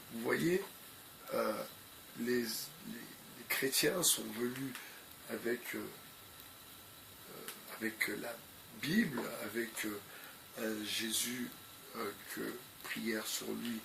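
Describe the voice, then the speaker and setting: A man with a cold talks slowly and haltingly in a gravelly voice, leaning on fillers and looping back on his own phrases. His commentary is unscripted. Clear background noise runs under the recording. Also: medium pitch. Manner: hesitant, repeats phrases, many fillers. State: has a cold. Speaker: video commentator.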